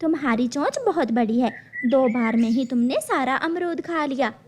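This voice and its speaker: A woman's voice: high-pitched, normal speed, darkish tone, slight background noise, natural voice. A woman voicing a fair-minded female bird in a cartoon, reasoning firmly with others.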